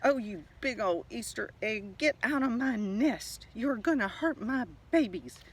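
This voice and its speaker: A man's voice: very high-pitched, expressive, measured pace, slightly rough, voice pushed up, full character voice. A man voicing an angry, protective mother redbird who screeches and scolds in a storybook tale. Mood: scolding.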